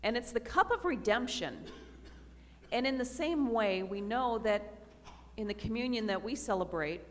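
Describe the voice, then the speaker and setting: A woman pastor giving a slow, earnest communion sermon to her congregation in a church. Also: a little high, animated. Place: church sanctuary. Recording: reverberant church hall.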